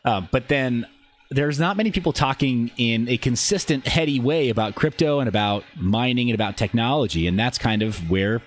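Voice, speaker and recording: A man's voice: medium pitch, normal speed, a little hiss or hum. A man hosting a casual livestream, answering viewers and talking through what he shows, with rambling, self-interrupting asides. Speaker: live streamer and channel host. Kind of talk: casual livestream chat, answering viewer questions while demonstrating a website.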